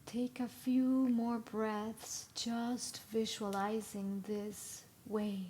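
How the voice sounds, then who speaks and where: A woman with a slightly high voice leads a guided meditation live. She speaks extremely slowly, in short soothing instructions separated by long pauses. Clear ambient noise sits behind her voice. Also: level tone.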